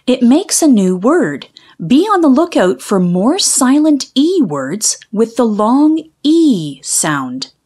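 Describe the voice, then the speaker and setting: A woman reads aloud for young children at an unhurried pace. Her voice is fairly high and swings widely in pitch. She is careful and deliberate, drawing out key sounds. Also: very animated, a little mellow. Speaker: read-aloud storyteller for children. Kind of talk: scripted children's story and phonics reading.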